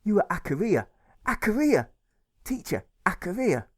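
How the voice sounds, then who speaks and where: Man doing an excitable high-voiced little boy. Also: moderate pace. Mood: giggly, excited. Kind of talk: audiobook character voice.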